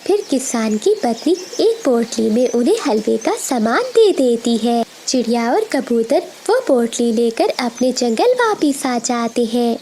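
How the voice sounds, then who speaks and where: High-pitched, animated woman narrating children's stories. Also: unhurried, few pauses, slight background noise. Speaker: story narrator. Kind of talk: scripted children's story narration.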